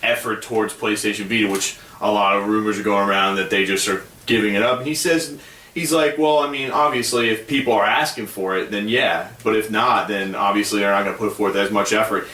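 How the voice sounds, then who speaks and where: A man's voice: lowish voice, fairly even intonation, a little fast, sharp tone, distant mic. Laid-back man on a roomy podcast mic. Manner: easygoing, argues his point with many fillers. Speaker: podcast co-host. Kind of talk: casual gaming podcast chat.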